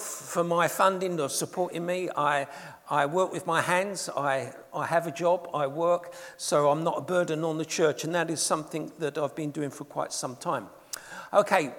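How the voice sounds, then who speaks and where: A middle-aged man with an English accent, preaching earnestly to a church congregation as a guest speaker. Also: a bit bright. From England. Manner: earnest, rises in pitch and volume when reading scripture. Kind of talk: sermon. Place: church hall. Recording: long hall reverb in a church.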